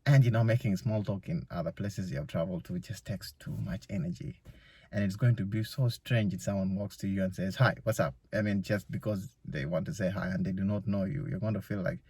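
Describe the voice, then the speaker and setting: A man's voice: sits low, fairly even intonation, brisk, a little mellow, breathy edge, dry recording. A young man from Uganda, talking casually to camera in a loose vlog full of "you know" fillers. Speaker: vlogger. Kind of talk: casual solo vlog monologue.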